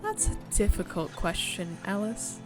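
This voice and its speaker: Woman playing gentle queen, cryptic, hesitant, dreamlike game character, music behind. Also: mid-range voice, wildly swooping pitch, unhurried. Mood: gentle, evasive.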